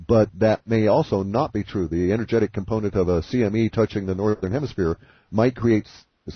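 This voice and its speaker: A man with a fairly low, husky voice talks through ideas in a measured, speculative way. The sound is dry and dull, like a call recorded over a phone line. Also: dry recording. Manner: deliberate, philosophical, speculative. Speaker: guest speaker on a conference call. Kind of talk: measured explanatory monologue. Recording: muffled phone-call audio.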